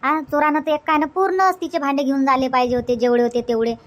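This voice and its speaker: Cartoon village auntie played by a woman, high voice, Vidarbha Marathi accent, suspicious gossip with emphatic questioning. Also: fairly even intonation, quick pace, natural voice. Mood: gossipy, suspicious. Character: gossipy village aunt, suspicious, chatty older relative. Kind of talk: cartoon comedy dubbing.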